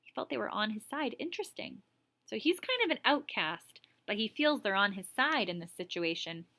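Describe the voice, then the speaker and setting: A woman's voice: medium pitch, moderate pace. A woman teacher reading a story aloud expressively, stopping for chatty asides to explain and comment. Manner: animated, explains words and comments as she reads.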